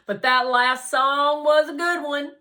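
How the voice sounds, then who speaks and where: A woman's voice: high-pitched, steady tone, slow, sharp tone, voice pushed up, full character voice. A woman voicing a cheerful, confident, chatty schoolboy in a storybook, with emphatic, exuberant delivery. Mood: pleased.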